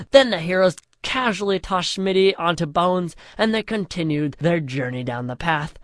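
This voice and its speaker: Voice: mid-range voice, no room sound, clean studio sound. A storyteller reading a scripted comic fantasy parody in a mock-epic way, drawing out the chapter headings.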